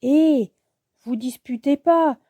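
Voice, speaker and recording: A woman's voice: sits high, takes it slowly, warm tone, no echo. A woman voicing a sensible, helpful little boy lizard superhero in a children's storybook. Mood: conciliatory.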